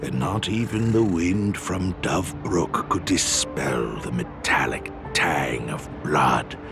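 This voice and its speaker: Noir game narrator: rock-bottom gravelly voice, slow, brooding and grave, music underneath. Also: male voice, very deep voice, heavily acted. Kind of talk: scripted dramatic narration. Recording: background music.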